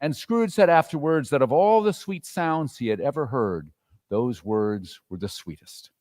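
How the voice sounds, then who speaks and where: A man narrating a classic story as a theatrical, animated dramatic reading. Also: medium pitch, a little slow. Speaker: story reader and performer.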